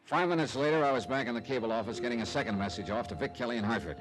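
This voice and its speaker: An actor in an old-time radio drama playing a hard-boiled, wry American private investigator with clipped, slangy speech. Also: male voice, medium pitch, rapid-fire, natural voice, poor audio. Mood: brisk, wary. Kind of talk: 1940s-50s radio detective acting, narration and dialogue. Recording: old radio recording with music behind.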